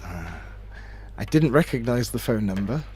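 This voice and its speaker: A man giving expert pool analysis as co-commentator, thoughtful and a bit halting. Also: medium pitch, animated, a little mellow, breathy edge. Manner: analytical, hesitant with fillers, laughs easily. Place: remote booth.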